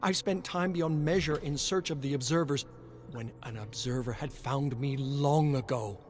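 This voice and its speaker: A man's voice: medium pitch, normal speed, soft background music, pitched above the performer's own voice, natural voice. A man voicing a haunted, searching man trapped in a nightmare realm, dramatic and questioning, in a storybook reading. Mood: agitated revelation.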